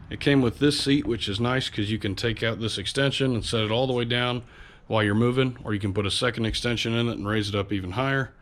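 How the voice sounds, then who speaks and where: A man with a slightly low voice talks in a relaxed, chatty way, sniffly from a light cold. He hosts an outdoors vlog and fills his talk with easy fillers. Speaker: outdoors channel host. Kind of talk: casual walkthrough vlog. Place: home garage.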